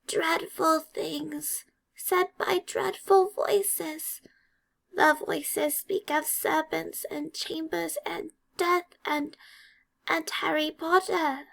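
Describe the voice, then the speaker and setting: A woman voicing a frightened, servile male house elf in an audiobook, with a quavering, halting delivery. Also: extremely high pitch, steady tone, vocal fry, fairly bright tone, pitched above the performer's own voice, heavily acted, low-quality recording. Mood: fearful, hushed. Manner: slow, halting, fearful delivery.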